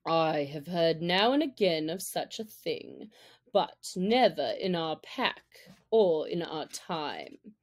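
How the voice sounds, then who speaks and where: A proud male wolf, head of a family, from a storybook, acted out by a woman reader. The voice is pitched down, slow and measured, with steady firmness. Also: heavily acted. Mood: calm. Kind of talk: storybook narration character voice.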